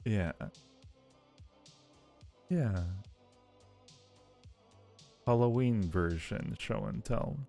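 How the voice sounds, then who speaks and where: A man's voice: lowish voice, very slow, vocal fry, long pauses, mellow, faint music behind. A man hosting a casual livestream, chatting playfully and affectionately with viewers in a rambling way, often repeating phrases. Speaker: livestream host.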